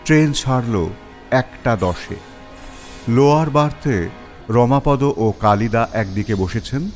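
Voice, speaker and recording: A man's voice: medium pitch, darkish tone. A man narrating a scripted story as its storyteller. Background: faint music.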